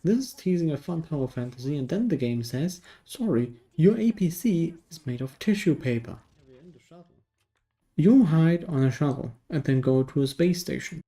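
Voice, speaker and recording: A man narrates a scripted game review with dry sarcasm. His voice sits slightly high for a man and has a mellow, relaxed tone. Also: moderate pace. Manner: dry, sardonic, sweary.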